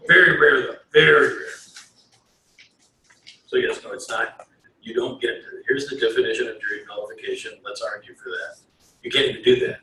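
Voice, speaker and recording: A man's voice: mid-range voice, brisk, long pauses, bright, forceful tone. Emphatic man teaching in an echoey room. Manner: emphatic, repeats himself for stress. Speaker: law instructor. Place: lecture classroom. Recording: echoey room, distant mic, faint noise.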